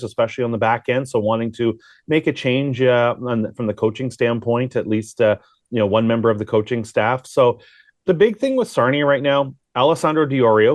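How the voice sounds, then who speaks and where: A man's voice: mid-range voice, a little mellow, no room sound. A man working as a sports broadcaster, giving informal, talkative, conversational analysis with rambling asides.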